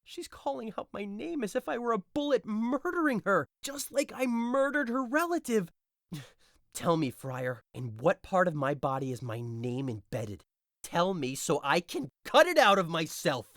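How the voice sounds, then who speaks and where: A bitter, lovesick young man played by a male actor pours out despair in a modern Shakespeare audio play. The delivery is melodramatic, swinging widely in pitch, with a dry close sound. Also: big pitch swings, measured pace, fairly bright tone, dry recording, full character voice. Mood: anxious, guilty. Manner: melodramatic, anguished, declamatory.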